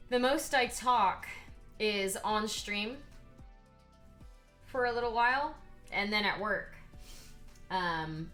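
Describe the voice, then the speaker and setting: A hoarse woman streaming herself painting miniatures, chatting casually with many ums and reading chat aloud. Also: mid-range voice, sharp tone. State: hoarse, voice partly lost.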